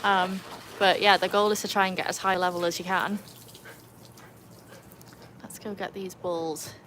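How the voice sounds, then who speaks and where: A woman's voice: lowish voice, fairly even intonation, measured pace, noisy background. A woman from England with an English accent, chatting casually through a livestream by her backyard pool and calling out to her dogs. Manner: chatty, laughs often, calls to her dogs. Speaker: livestream host.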